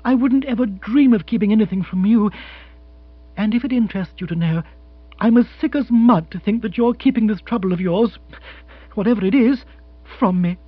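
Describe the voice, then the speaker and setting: A man's voice: high voice, normal speed, slightly rough, no echo, pitched above the performer's own voice. A man voicing a spirited, outspoken young Englishwoman in a comic audiobook, scolding emphatically with indignation. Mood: reproachful. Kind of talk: comic audiobook character acting. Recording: muffled recording with hum.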